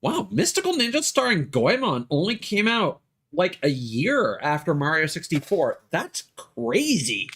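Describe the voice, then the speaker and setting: A man's voice: fairly high pitch, wildly swooping pitch, a little slow, a bit bright, slightly rough. A man doing casual gaming commentary as a live streamer, rambling and opinionated as he thinks aloud. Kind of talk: casual gaming commentary, chatting while playing.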